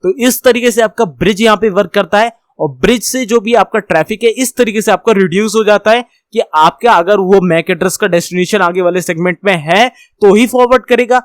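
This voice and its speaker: Male tutor, Indian accent, fairly high pitch, informal and explanatory, rephrases often, dry recording. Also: fairly quick, few pauses, no room sound. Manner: repeats and rephrases points step by step; mixes English technical terms into speech. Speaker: instructor.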